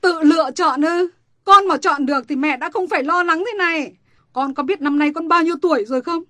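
An actress playing a strict, quick-tempered mother, scolding her daughters in a family drama. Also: extremely high pitch, a bit bright, no room sound. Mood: anguished. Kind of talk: radio-style family drama.

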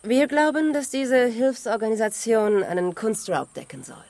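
An actress playing a cool, assertive American television reporter in a radio drama, direct and challenging. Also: female voice, fairly high pitch, lively intonation, moderate pace, darkish tone, no echo. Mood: businesslike. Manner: direct, challenging, switches into English.

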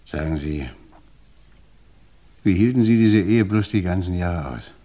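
An actor playing an investigator who questions a widow in a measured, insistent way. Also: male voice, sits low, lively intonation, warm tone. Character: calm, probing male investigator interrogating a widow. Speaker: interrogator. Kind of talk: radio crime play.

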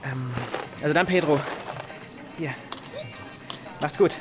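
Nervous, halting hacker, higher-voiced. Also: male voice, over music. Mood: glum, irritated. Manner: halting, muttering, distracted. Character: nervous, grumbling, distracted male computer hacker. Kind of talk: radio play acting.

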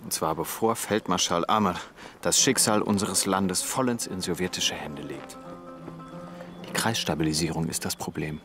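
An actor in a radio drama playing a proud, ambitious young Egyptian engineer, earnest and resentful, in intimate dialogue. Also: male voice, low-pitched, moderate pace, close to an everyday voice. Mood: determined. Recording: music behind the voice. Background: music.

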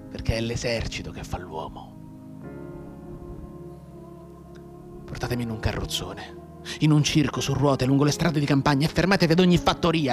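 An actor playing a maimed, bedridden war veteran in a theatrical radio monologue, intense and declamatory, anguished and bitterly sardonic. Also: male voice, a little high, natural voice. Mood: bitter, defiant. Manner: intense, declamatory, halting between hushed and cried-out delivery.

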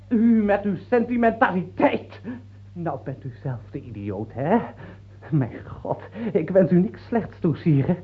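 An actor playing a king's sullen son and heir in a radio drama, outwardly dutiful and bluntly scornful underneath. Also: medium pitch. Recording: thin, phone-like old radio recording.

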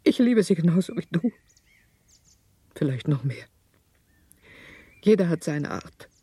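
An actress playing a middle-aged wife and mother in a radio play, principled and stern, with a measured, insistent, husky delivery. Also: lowish voice, very animated, mellow, dry recording, heavily acted. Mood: reproachful, insistent.

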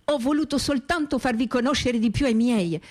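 Husky-voiced woman in radio theatre. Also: on the high side, normal speed. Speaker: radio theatre performer and reader. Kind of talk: theatrical spoken recollection. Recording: faint music behind the voice.

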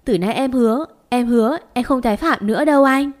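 A woman's voice: a little high, quick pace, a little mellow, close to an everyday voice. A woman voicing a desperate young wife who pleads and begs. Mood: pleading. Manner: pleading, begging.

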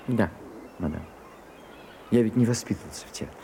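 An actor playing a shy, prim gentleman in a radio play, confiding haltingly and easily flustered. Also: on the low side, expressive, gravelly. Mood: embarrassed, wistful. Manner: hesitant, halting, bashful. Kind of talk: Russian radio play acting. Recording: muffled Soviet-era radio recording, some hiss.

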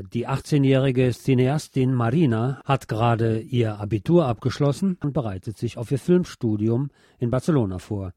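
A man's voice: mid-range voice, dark tone, dry recording, clean studio sound. A man presenting a film review as a show host, partly scripted.

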